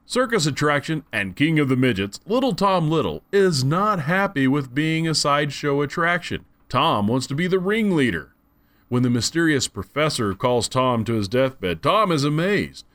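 A man's voice: fairly high pitch, big pitch swings, normal speed, a bit bright. A man giving an enthusiastic, conversational audiobook review. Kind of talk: casual spoken review.

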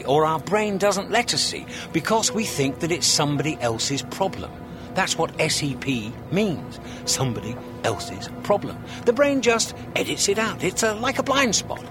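An impatient, brash alien in a radio play, quick to shout when exasperated. His voice is high and very lively. Music plays under the scene. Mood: excitable.

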